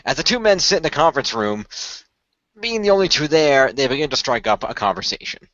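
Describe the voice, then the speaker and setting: A man hosts and narrates an amateur radio play in a medium-pitched voice. His delivery is informal and improvised, stumbling over phrases, and the recording is dry and a touch dull. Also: very animated, brisk, fairly bright tone, no echo. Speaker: host and narrator.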